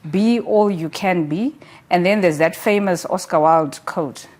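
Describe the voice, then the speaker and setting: Low-voiced woman reviewing a book. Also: unhurried, darkish tone. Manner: earnest, encouraging, uses many "like" and "you know" fillers. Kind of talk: studio talk show discussion.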